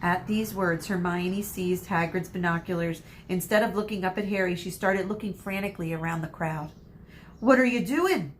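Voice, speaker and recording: A woman narrating a children's story expressively, with dramatic emphasis and pauses for suspense. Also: fairly low pitch, fairly even intonation. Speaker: read-aloud storyteller, teacher. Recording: faint background noise.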